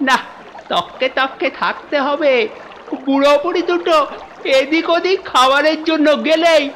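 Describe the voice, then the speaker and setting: A woman's voice: high-pitched, a little slow, a bit bright, a little hiss or hum. A woman voicing a cocky, stubborn young cartoon turtle who talks in a defiant, dismissive, grumbling way. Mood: grumbling, scheming. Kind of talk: children's cartoon dubbing. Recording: music behind the voice.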